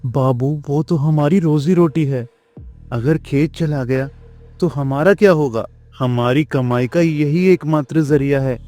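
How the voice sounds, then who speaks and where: A man's voice: a little high, measured pace, mellow, soft background music, close to an everyday voice. A man voicing a worried, humble village farmer in a Hindi moral-story cartoon, earnest and pleading.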